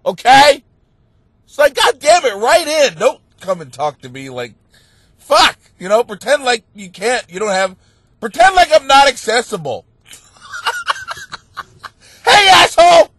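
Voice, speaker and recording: A man with a blocked, stuffy nose rants like a comic on his own podcast, cursing freely and bursting into shouts. His voice sits high and leaps all over the place. The sound is close and dry, with no room around it. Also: a little slow, long pauses, no room sound. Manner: animated, swearing, bursts into shouting. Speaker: stand-up comic and podcast host. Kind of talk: profane comedic rant monologue.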